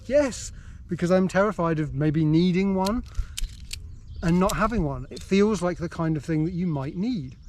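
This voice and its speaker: A man's voice: high voice, animated, normal speed, a little mellow, a little hiss or hum. An English man chatting casually and self-mockingly on a hike, filmed outdoors on a handheld camera. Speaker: vlogger. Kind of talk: casual vlog chat while hiking. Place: outdoors on a hill walk.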